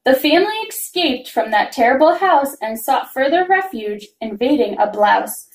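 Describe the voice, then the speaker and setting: A woman reading a rhyming children's story aloud in an expressive, singsong manner. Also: high voice, normal speed. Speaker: reader of a children's picture book. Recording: roomy, echoing room sound.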